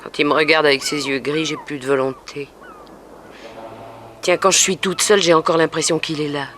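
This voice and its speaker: Frightened submissive young streetwalker, resigned delivery, 1960s radio drama, faint noise. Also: female voice, sits low, steady tone, normal speed, fairly bright tone. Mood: resigned, uneasy. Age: young adult. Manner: resigned, plaintive, plain-spoken. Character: weary, frightened, submissive young streetwalker under a pimp's hold. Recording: faintly noisy old radio recording.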